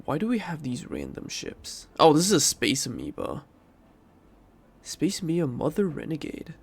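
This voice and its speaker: A man's voice: mid-range voice, vocal fry. A man with a stuffy nose from a cold, giving casual commentary while playing a strategy game and thinking aloud as he goes. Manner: thinking aloud, rambling, self-correcting. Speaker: game streamer.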